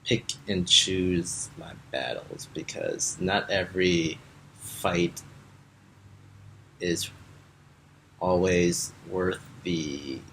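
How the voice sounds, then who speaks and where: A young American man speaking as a Peace Corps volunteer in a relaxed interview, with lots of fillers. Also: deep voice, drawn-out words, pauses often, slight background noise, poor audio. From United States. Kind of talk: casual interview answers.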